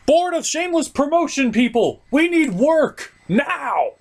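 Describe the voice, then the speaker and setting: A man voicing an excitable frog puppet show host, frantic and stammering in a puppet comedy. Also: very high-pitched, a little slow, voice pushed up, full character voice. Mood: excited, frantic.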